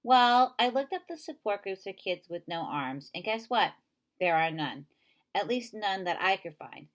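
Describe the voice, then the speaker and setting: A woman voicing a chatty, persuasive young girl in a children's novel read aloud, eager and quick to blurt things out. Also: medium pitch, expressive, natural voice. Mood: insistent.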